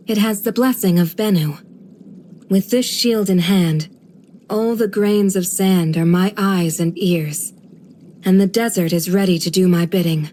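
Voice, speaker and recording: A woman voicing a serene, kindly guardian of a desert village in a video game, with a gentle, measured, formal storytelling delivery. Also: on the low side, slight background noise, natural voice. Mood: amused, modest. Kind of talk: scripted video game character voice lines.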